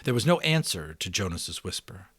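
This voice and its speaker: Low-voiced middle-aged man, expressive reader. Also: moderate pace, slightly rough, no room sound. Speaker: audiobook reader and host. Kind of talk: dramatic book narration with casual commentary.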